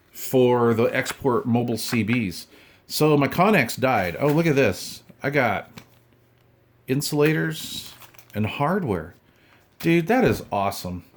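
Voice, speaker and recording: A man in his fifties chatting casually through an unboxing, in a folksy, rambling way with informal slang. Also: mid-range voice. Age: 50s. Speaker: radio hobbyist vlogger.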